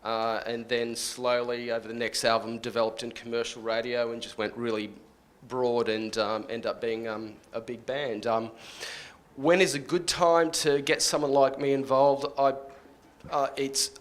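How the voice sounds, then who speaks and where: A man explaining his job informally on a panel, halting and often rephrasing as he goes. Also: medium pitch, flat delivery. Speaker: radio plugger on a workshop panel.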